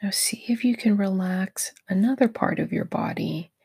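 A woman leading a guided meditation in a soft, breathy, near-whispered voice, gentle and encouraging toward the listener. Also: mid-range voice, moderate pace. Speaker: meditation guide, podcast host.

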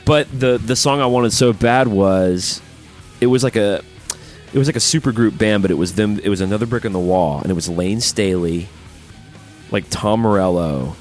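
Male podcast co-host, lower voice, informal fan chat about rock, faint music underneath. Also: normal speed.

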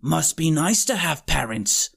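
A man's voice: fairly high pitch, sing-song, a little slow, creaky, a bit bright, breathy edge, voice pushed down, exaggerated voice. A man voicing an eager, impatient young boy in an audiobook, whiny and insistent. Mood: sulky. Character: eager impatient tiny schoolboy, pleading and stubborn. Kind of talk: audiobook character acting.

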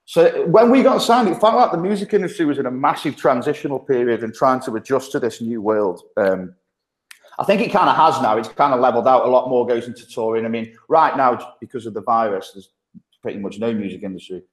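A man's voice: medium pitch, a little fast, roomy sound. A man from the English Midlands with a thick Midlands accent, talking casually as a podcast guest. He chats loosely, often laughing, with lots of ums and restarts. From Stoke-on-Trent, England. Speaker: guest, agency partnership manager.

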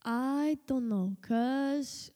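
A woman's voice: sits high, very slow, dark tone, big-room sound. A teenage girl from Slovenia, a native Slovenian speaker, chatting casually and hesitantly in an interview with many fillers and restarts. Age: teens. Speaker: guest, host's daughter.

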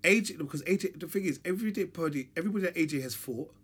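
A young man in casual, animated chat, slangy and talking over his friend. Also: fairly high pitch, rapid-fire, husky. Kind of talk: casual chat about boxing.

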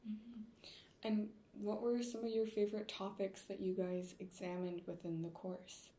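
A woman hosting a podcast interview, asking gentle, somewhat hesitant questions in a casual way. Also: mid-range voice, level tone, moderate pace. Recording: roomy echo.

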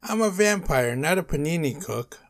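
A man's voice: mid-range voice, normal speed, lightly acted. A man voicing a frustrated vampire monster in a story, complaining in an exasperated way. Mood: frantic yelling. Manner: exasperated, shouting his complaints.

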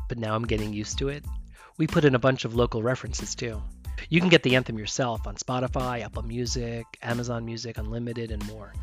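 Upbeat man hosting a podcast. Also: medium pitch. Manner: upbeat promotional. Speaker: podcast host. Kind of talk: scripted podcast intro, then interview.

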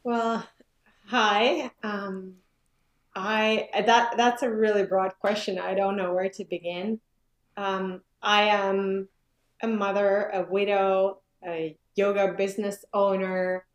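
Slow, hesitant woman from Finland in an echoey room. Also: mid-range voice, little pitch movement. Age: 40s. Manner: hesitant, thinking aloud with many fillers. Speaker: guest, yoga business owner. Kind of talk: casual conversation.

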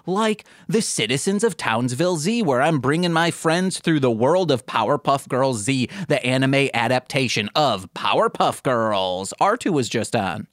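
A man with a fairly high voice and very animated intonation hosts a casual podcast. He rambles jokily through banter and plugs with playful exclamations. The sound is dry and close. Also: dry recording. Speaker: podcast host.

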